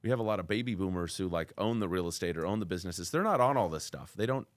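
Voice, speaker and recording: A man hosting a podcast interview, talking loudly and freely in casual conversation. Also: low-pitched, animated, quick pace, no room sound. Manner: loud, talkative. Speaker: podcast host, commercial real estate broker.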